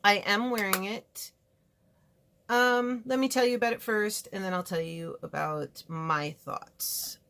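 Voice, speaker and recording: Chatty woman with a gravelly creak. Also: medium pitch, a little slow, a bit bright. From California, USA. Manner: chatty, frank and rambling, with asides. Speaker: beauty vlogger reviewing makeup. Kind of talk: casual product review chat. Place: home studio with a fireplace.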